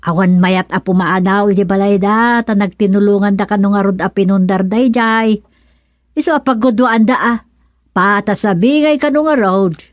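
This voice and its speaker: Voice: mid-range voice, moderate pace, no echo, natural voice. An actor playing a matter-of-fact village gossip in a radio comedy, conversational, with an Ilocano accent. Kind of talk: radio serial drama-comedy acting.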